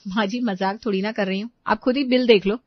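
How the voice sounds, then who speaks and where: A woman's voice: medium pitch, steady tone, brisk, no echo, close to an everyday voice. Woman playing young indian housewife in a family story, dutiful n fretting, dry muffled recording. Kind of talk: acted Hindi family story dialogue.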